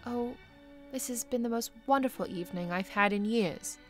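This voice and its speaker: A woman's voice: fairly high pitch, moderate pace, soft background music, close to an everyday voice. A woman playing a kindly, enthusiastic bishop's wife in a radio-style drama. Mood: delighted. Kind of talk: radio-play style acting.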